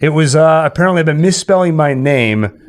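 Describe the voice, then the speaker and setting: A man's voice: fairly high pitch, animated, brisk. A man hosting a live stream in casual banter, telling stories and running a quiz with playful asides to the chat. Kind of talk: casual livestream banter and storytelling. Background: music.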